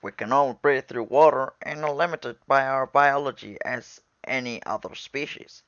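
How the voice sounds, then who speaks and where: A man plays a patient alien military commander from an animated sci-fi film. He speaks formally and proudly, like a mentor briefing trainees. The recording is very dry and slightly dull. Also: mid-range voice, dry recording, lightly acted. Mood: calm, authoritative. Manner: formal, explanatory, measured.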